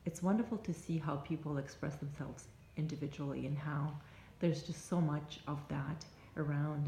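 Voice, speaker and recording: A native Russian-speaking woman with a Russian accent, giving reflective advice to camera in a halting, thoughtful way with pauses mid-sentence. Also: deep voice, dark tone. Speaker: life coach and vlogger. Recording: roomy echo, faint background noise.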